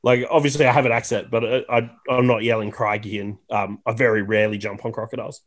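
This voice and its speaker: A man's voice: lowish voice, steady tone, fast talker. A man in his thirties from Tasmania with an Australian accent, a podcast guest chatting casually and self-deprecatingly with plenty of fillers. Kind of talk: casual chat.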